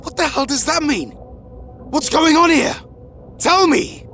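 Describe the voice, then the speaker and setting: A man's voice: very high-pitched, lively intonation, measured pace, bright, forceful tone, soft background music, heavily acted. A man playing a loyal veteran guardsman in an audio drama, outraged and disbelieving, with an agitated, accusing delivery. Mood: shocked, demanding.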